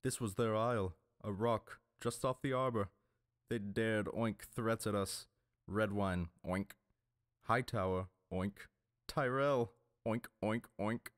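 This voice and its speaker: A man's voice: on the low side, slow pace, no room sound, clean studio sound, pitched above the performer's own voice. A man doing a jeering brute pirate captain. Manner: jeering, mocking. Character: brutish mocking male pirate captain.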